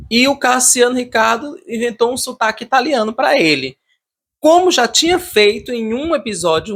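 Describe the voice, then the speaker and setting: A man's voice: high voice, sharp tone. A Brazilian man giving casual, unscripted commentary as a video reviewer, repeating words and leaning emphatically on his opinions.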